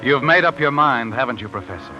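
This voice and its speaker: A man's voice: medium pitch, lively intonation, brisk, muffled recording, natural voice. An actor playing an ominous, all-knowing narrator in a 1950s radio mystery, taunting as he addresses the listener directly. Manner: insinuating, taunting second-person address. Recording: old radio broadcast with music.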